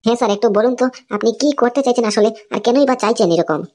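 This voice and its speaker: A woman playing a brisk, inquisitive underling to a boss in a comic cartoon. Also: on the high side, a little mellow, lightly acted.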